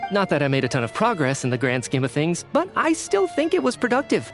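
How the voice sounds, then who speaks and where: An earnest, animated young-sounding writer. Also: male voice, on the high side, fast talker, music in the background. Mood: enthusiastic. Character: earnest, apologetic theater-loving writer, chatty and candid. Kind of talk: scripted video game dialogue.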